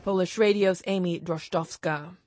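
A woman radio presenter with brisk, playful scripted links between items. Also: fairly low pitch, steady tone.

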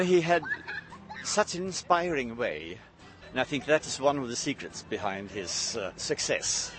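A man recalling someone in a thoughtful way, pausing and restarting. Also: mid-range voice. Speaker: interviewee. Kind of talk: spoken recollection. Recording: music bed behind voice.

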